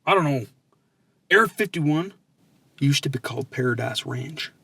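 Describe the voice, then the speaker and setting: A man's voice: mid-range voice, lively intonation, moderate pace, vocal fry, bright, forceful tone, a little hiss or hum. A man hosting a comedy podcast in casual banter, with a folksy, rambling, playfully naive way of talking.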